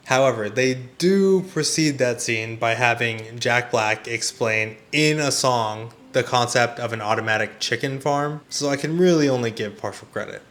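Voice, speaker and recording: A man's voice: mid-range voice, fairly bright tone. A man delivering scripted video essay commentary in a conversational, exasperated way with emphatic rhetorical questions. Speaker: video reviewer and host.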